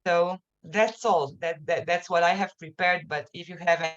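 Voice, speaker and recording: A woman speaking in a webinar as a journalist, haltingly and often repeating words, over an online call. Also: fairly low pitch, fairly even intonation. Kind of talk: webinar presentation and answers. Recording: muffled, lo-fi online call, cutting out.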